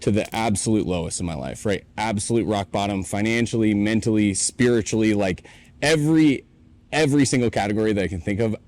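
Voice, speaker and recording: A man's voice: on the low side, fairly quick, a little mellow. A man in his late twenties, co-hosting a podcast in a warehouse, telling his own story in an earnest, reflective way. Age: 20s. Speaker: podcast co-host. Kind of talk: casual chat.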